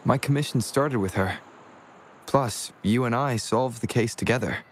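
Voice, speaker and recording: An actor playing a calm, matter-of-fact young wanderer hero in a video game, reassuring in manner. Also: male voice, mid-range voice, moderate pace, slight background noise, natural voice. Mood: calm, friendly. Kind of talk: video game story dialogue.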